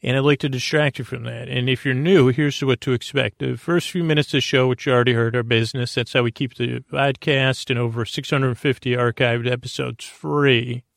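A man hosting a bedtime podcast, rambling in a halting, meandering way with a creaky, mumbly voice and self-deprecating asides. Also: medium pitch, fairly even intonation, no room sound, clean studio sound. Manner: meandering, halting, stumbling over words. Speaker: podcast host and storyteller. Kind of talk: rambling bedtime monologue to help listeners sleep.

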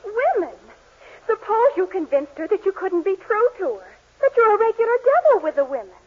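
A woman's voice: very high voice, big pitch swings, brisk. An actress playing a sassy young woman in a 1940s radio comedy, on a muffled old recording. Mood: scheming.

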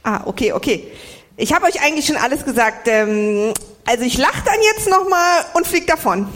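A woman's voice: high-pitched, lively intonation, moderate pace, a bit bright. A woman playing a theatrical flying villainess in a comic audio drama, grandiose but easily flustered into casual asides. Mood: commanding, awkward.

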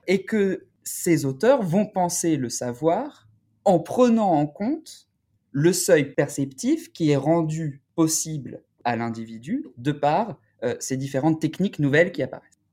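A man speaking as an academic researcher in an interview, explaining in a deliberate, halting, scholarly way. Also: a little high, lively intonation, mellow.

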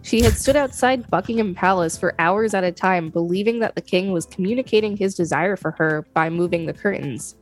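A woman's voice: lowish voice, fairly quick, hardly any pauses, soft background music, low-quality recording. A woman co-hosting a podcast, reading an article aloud steadily, now and then tripping over names. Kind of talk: casual read-aloud.

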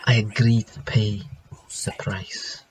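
A man's voice: lowish voice, little pitch movement, takes it slowly, slightly rough. A man delivering poetic lines with incantatory repetition, in the manner of a recitation.

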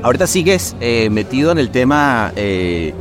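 A man hosting a casual interview, relaxed and slangy, often saying "güey". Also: medium pitch, normal speed, music in the background. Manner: relaxed, slangy, frequent "güey" and "qué chingón". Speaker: podcast host.

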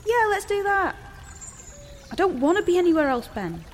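A woman's voice: very high voice, very animated, normal speed, slight background noise. An actress playing a guarded, sarcastic teenage girl in a naturalistic dystopian audio drama. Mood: sarcastic, reluctant.

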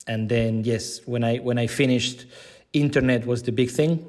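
Argentinian man, middle-aged, native Spanish speaker, medium pitch, podcast guest talking conversationally. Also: steady tone, moderate pace, darkish tone. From Buenos Aires, Argentina. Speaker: podcast guest, digital content manager. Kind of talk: conversational interview answers.

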